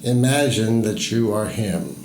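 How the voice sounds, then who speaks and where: Slow, echoey man guiding a meditation. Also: on the low side, animated, darkish tone. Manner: slow, measured, deliberate pauses between phrases. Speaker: guided meditation narrator. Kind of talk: guided meditation storytelling. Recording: echoey voice over music. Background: music.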